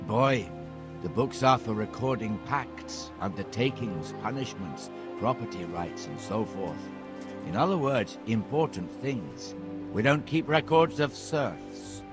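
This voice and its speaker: An actor playing a crotchety, weary old record keeper in a video game, grumbling in a patronizing way. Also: male voice, fairly low pitch, animated, slow, music in the background. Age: older. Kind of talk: video game cutscene acting.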